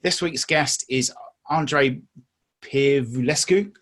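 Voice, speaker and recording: A man's voice: mid-range voice, takes it slowly, fairly bright tone. Friendly, self-deprecating podcast host man. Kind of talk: podcast interviewing.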